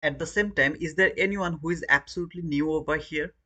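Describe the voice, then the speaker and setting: A man's voice: a little high, quick pace, pushed and bright. Bangladeshi man hosting a live trading stream. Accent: Bangladeshi English. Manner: repeats words, chats directly to viewers. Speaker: trading livestream host. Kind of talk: live trading commentary and chat.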